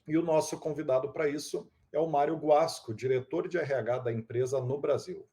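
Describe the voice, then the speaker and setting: Mellow-voiced male podcast host. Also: on the high side, steady tone, moderate pace. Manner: fluent, scripted intro then chatty. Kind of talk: podcast hosting.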